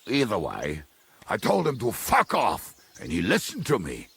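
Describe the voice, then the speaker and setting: A man playing a roguish, foul-mouthed medieval mercenary in a video game, with a blunt, wry way of telling things and a gravelly, husky voice. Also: fairly low pitch, huge pitch swings, a little slow, fairly bright tone, heavily acted. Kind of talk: dramatic video game dialogue.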